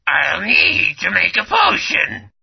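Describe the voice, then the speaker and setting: A woman voicing a trapped, mutated female creature who guards a spell stone in a video game, with wailing, gravelly delivery. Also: lowish voice, huge pitch swings, slow, full character voice. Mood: urgent. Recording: muffled lo-fi audio.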